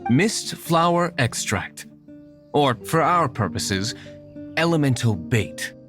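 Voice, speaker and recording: An aloof young nobleman and tavern owner from a video game. He is formal and reserved, at a middling pitch with expressive rises and falls. Faint music sits behind him. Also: takes it slowly. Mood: composed, instructive. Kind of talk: video game character voice acting.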